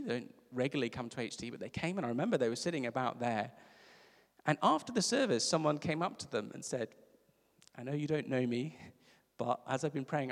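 A man from Africa, preaching a sermon in a conversational storytelling way with emphatic repeats. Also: fairly high pitch. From South Africa. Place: church service. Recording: reverberant church hall.

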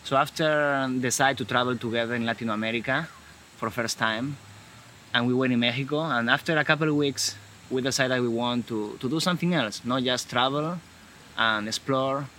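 A man from Galicia in Spain with a Spanish accent, talking in a casual conversation in halting English and often restarting his sentences. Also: medium pitch, pushed and bright, a little hiss or hum. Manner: halting, searching for words, frequent restarts.

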